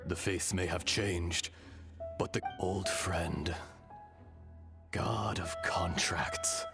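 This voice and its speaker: Slow, deep, ancient dragon spirit. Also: male voice, fairly even intonation, vocal fry, full character voice. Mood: solemn. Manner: grave, philosophical, formal. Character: ancient, fatalistic earth dragon spirit speaking through a man. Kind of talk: scripted video game acting. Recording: background music.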